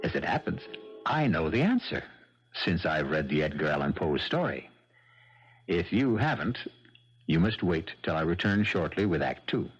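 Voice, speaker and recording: Low-voiced man hosting an old radio drama. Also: big pitch swings. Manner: measured, theatrical storyteller. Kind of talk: scripted host narration. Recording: dull 1970s radio broadcast with music.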